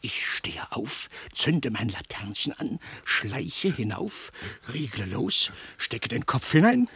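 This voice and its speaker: An actor playing a servile, excitable town bailiff in a comic radio play, plaintive, long-winded and melodramatic. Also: male voice, mid-range voice, takes it slowly, breathy edge, dry recording, heavily acted. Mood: breathless storytelling.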